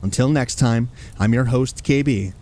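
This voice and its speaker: A man's voice: medium pitch, normal speed, a little mellow. A man hosting with scripted, storytelling campfire-style narration for a horror radio show. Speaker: podcast host.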